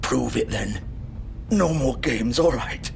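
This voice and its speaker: Bitter dying crook, wildly swinging pitch. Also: male voice, lively intonation, slow, creaky, slight background noise. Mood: bitter, resigned. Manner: sardonic, profane, goading. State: wounded, straining, coughing. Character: bitter, dying male crook, defiant and goading. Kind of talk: dramatic video game acting. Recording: music under the voice. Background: dramatic music.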